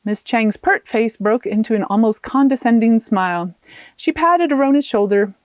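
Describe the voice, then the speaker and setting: A woman author reading her own fiction aloud as an expressive audiobook narrator. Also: a little high, normal speed, no echo.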